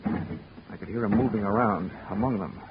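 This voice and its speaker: A man's voice: lowish voice, normal speed, noisy background, close to an everyday voice. An American actor playing a cool, cocky, wisecracking male private investigator in 1940s radio drama, with a wry, punchy delivery. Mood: wry, tense. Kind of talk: 1940s radio detective narration and acting. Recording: muffled vintage radio recording with music.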